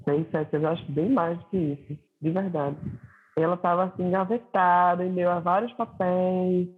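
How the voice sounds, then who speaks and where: Man, Pernambuco accent, high voice, husky, reflective, phone-quality audio. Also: steady tone, moderate pace. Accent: Pernambuco Portuguese. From Recife, Brazil. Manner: reflective, hesitant with many fillers. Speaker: singer-songwriter guest. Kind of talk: casual interview chat. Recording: tinny phone-like line.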